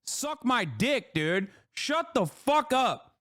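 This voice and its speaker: A man streaming and reacting to a podcast clip, profane and mocking, getting heated and loud. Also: very high voice, sing-song, slow, no echo, studio quality. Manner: profane, mocking, shouting in outrage. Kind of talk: heated live-stream commentary.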